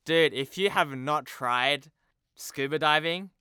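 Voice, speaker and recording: A young man talks casually as an interview guest, with a bright, forward voice that is exceptionally clean and smooth. The sound is dry and close, and his manner is informal and a little self-deprecating. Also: fairly high pitch, slow pace, dry recording. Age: young adult. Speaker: podcast guest, TV promo producer. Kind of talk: casual chat. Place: remote video call.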